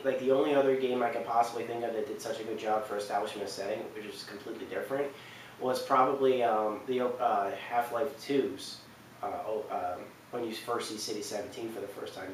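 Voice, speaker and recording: Echoey young man, rambling game reviewer. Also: on the low side. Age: 20s. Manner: rambling, earnest, halting with self-corrections. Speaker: video game list host. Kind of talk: casual unscripted review to camera. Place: indoor living room on a couch. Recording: echoey room, distant mic, faint noise.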